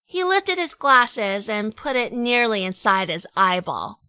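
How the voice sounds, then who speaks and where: Noir radio drama: sardonic lady detective played by a woman, bright forward tone, very dry sound, hardboiled wit. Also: on the high side, sing-song, unhurried, smooth, dry recording, exaggerated voice. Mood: wry, narrating. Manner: wry, dry-humored. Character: sardonic quick-witted 1940s female gumshoe, weary wife.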